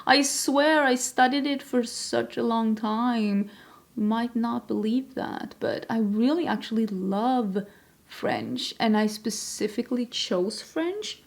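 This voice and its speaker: A woman's voice: a little high, animated, slow, vocal fry. A young woman from Sweden, chatting casually and self-deprecatingly while she does her makeup on camera. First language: Swedish. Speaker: beauty vlogger. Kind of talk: makeup tutorial chat.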